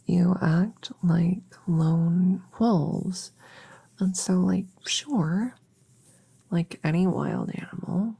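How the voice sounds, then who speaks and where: Woman playing a breathy, creaky, soft-spoken witchy vet. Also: on the low side, full character voice. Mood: casual, explaining. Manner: gentle, chatty, halting, teasing. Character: friendly, goofy, reassuring human witch werewolf vet. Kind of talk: soft ASMR audio roleplay.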